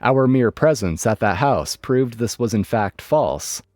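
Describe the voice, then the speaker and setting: A man narrating online stories in a lively, dry storytelling manner. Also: mid-range voice, measured pace, no echo, soft background music.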